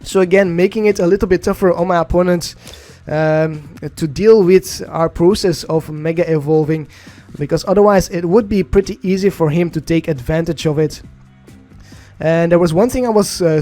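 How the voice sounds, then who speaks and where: A man's voice: high-pitched, normal speed, a little mellow, faint music behind. A young man whose first language is Dutch, casually commenting on an online card game in a chatty, rambling way, often correcting himself. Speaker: gaming channel host.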